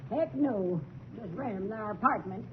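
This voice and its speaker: A woman's voice: mid-range voice, lively intonation, normal speed, a little hiss or hum, close to an everyday voice. An actress playing a brusque, folksy landlady who calls out bluntly, in 1950s radio drama style. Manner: folksy, blunt, calling from a distance. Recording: tinny, narrow old radio sound.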